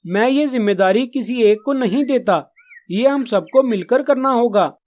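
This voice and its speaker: A man's voice: very high voice, normal speed, darkish tone. Children's cartoon pigeon , male actor: responsible elder brother of the flock, earnest, caring, explanatory. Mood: earnest, firm. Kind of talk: Hindi children's cartoon dialogue.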